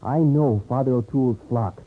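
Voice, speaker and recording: A man's voice: mid-range voice, natural voice. A man playing an earnest, loyal young frontier man who speaks formally in a radio western. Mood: earnest, determined. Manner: formal, measured, few contractions. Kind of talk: 1950s radio western acting. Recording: tinny old radio recording.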